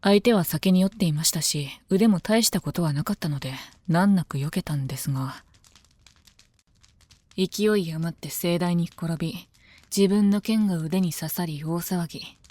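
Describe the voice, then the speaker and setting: A woman playing a composed, devoted female knight of common birth in a Japanese voice drama, speaking calmly, politely and softly. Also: on the low side, moderate pace, no echo, lightly acted. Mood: dry, matter-of-fact. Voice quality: calm, composed. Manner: polite, deferential, soft-spoken. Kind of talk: Japanese voice drama, intimate scripted dialogue.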